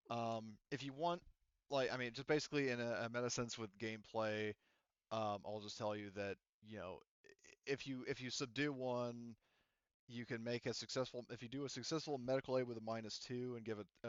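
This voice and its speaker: A man's voice: medium pitch, fairly even intonation, moderate pace, clear, resonant tone, no echo, highs cut off. A man serving as game master, relaying a character's words to the players in a hesitant, filler-heavy way. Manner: hesitant, filler-heavy, says "you know" and "like" often.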